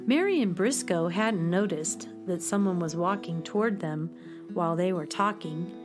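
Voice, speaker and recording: A woman giving gentle, scripted storybook narration for a children's radio play. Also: fairly low pitch, lively intonation. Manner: slow, gentle, descriptive. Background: guitar music.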